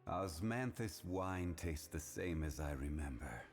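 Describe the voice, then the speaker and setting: A solemn video game character. The voice is extremely deep, slow and grave, full of wistfulness, with music clearly behind it. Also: male voice, animated. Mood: wistful.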